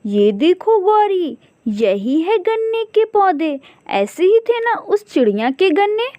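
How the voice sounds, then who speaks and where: A woman's voice: very high voice, sing-song, slow, darkish tone, dry recording, voice pushed up, full character voice. A woman voicing a lazy, scheming male crow husband in a cartoon, eager, coaxing and stubbornly optimistic.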